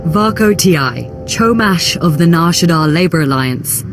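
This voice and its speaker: A woman's voice: fairly low pitch, lively intonation, a little slow. Formal female imperial operative over music. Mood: courteous, pleased. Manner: formal, polished, deferential. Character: smooth, ambitious female imperial diplomatic operative. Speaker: imperial special operations chief. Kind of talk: dramatic video game dialogue.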